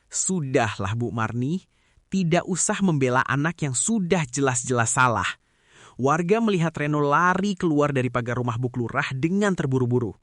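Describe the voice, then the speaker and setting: A man performs a stern community head in an animated moral drama. He admonishes with big swings in pitch, mid-range overall, in a dry recording. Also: moderate pace, dry recording, natural voice. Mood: stern, accusing. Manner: authoritative, admonishing. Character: stern male neighbourhood chief.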